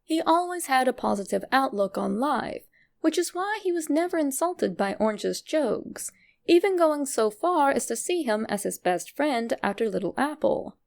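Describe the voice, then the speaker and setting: A woman narrator delivers a scripted reading. Her voice sits on the higher side and carries a clearly gravelly, creaky fry. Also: expressive, moderate pace, darkish tone. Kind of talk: scripted narration of a fan theory.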